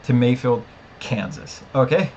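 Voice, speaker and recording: A man with an average-pitched voice talks through a review as a casual rant. He rambles and complains with animated disbelief. A light layer of noise sits behind him. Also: unhurried, dull audio. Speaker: discussion show host. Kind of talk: casual TV episode review, ranting chat.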